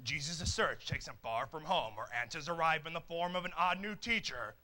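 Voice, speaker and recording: A man playing a dramatic movie-trailer announcer with a booming, portentous delivery. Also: moderate pace, bright, forceful tone, rough recording. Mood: ominous.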